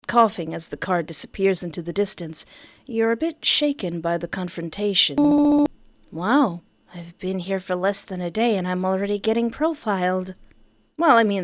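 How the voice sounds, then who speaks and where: A woman game streamer with a playful, expressive way of talking, reading narration aloud and commenting as she plays. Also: fairly low pitch, dry recording.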